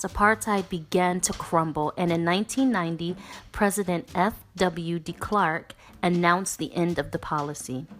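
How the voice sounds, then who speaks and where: A woman narrating a children's book as a read-aloud, in an expressive, deliberate way. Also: on the low side.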